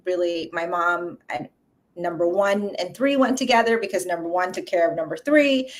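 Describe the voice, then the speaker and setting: Soft-spoken woman, halting and thoughtful. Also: on the low side, animated. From Vietnam. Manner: halting, many fillers and restarts. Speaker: guest, coffee company founder. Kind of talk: casual interview conversation.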